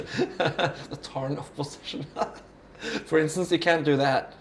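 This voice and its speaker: A man's voice: fairly high pitch, slow pace, roomy sound, slight background noise. A man with a Norwegian accent talking casually in an interview, musing in a rambling, sweary way. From Norway.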